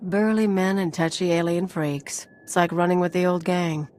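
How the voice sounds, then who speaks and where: An actress playing a sardonic, tough outlaw companion in a video game, with casual, wry delivery. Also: female voice, lowish voice, fairly even intonation, moderate pace, darkish tone, close to an everyday voice. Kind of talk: video game character acting.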